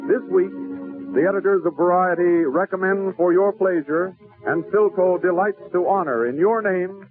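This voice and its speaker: Male 1940s radio announcer, high voice, formal and declamatory, music behind. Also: steady tone, moderate pace. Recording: tinny 1940s radio broadcast over music.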